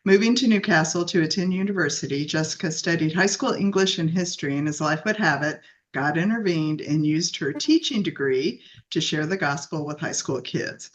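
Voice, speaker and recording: A woman's voice: deep voice, animated, normal speed, hardly any pauses. A woman from Dallas, co-hosting a book podcast and reading a guest's bio aloud in a bubbly, laughing way. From Dallas, Texas, USA. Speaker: podcast co-host.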